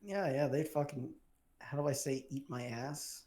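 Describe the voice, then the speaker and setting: A man's voice: mid-range voice, measured pace, mellow. A young man co-hosting a podcast, chatting crudely and casually, rambling, swearing and trailing off mid-sentence. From Filipino heritage. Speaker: podcast co-host.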